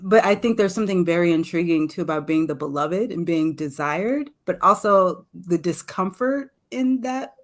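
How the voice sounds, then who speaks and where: A woman in casual, reflective conversation, speaking thoughtfully in halting phrases. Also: on the low side, lively intonation. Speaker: poet, guest speaker.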